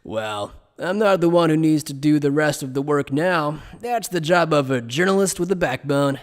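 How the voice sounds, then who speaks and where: A man playing an evasive, playful scholar who explains things in a polite, measured, teasing way in an anime fan dub. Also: on the high side, expressive, natural voice. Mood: measured, probing. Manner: polite, measured, teasing lecturer with coy understatement.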